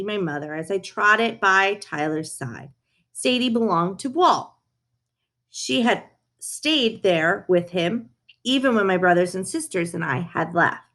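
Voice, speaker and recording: A woman's voice: mid-range voice, pushed and bright. Woman reading a children's storybook aloud. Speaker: reader of a children's book. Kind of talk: storybook read-aloud in first person as a dog.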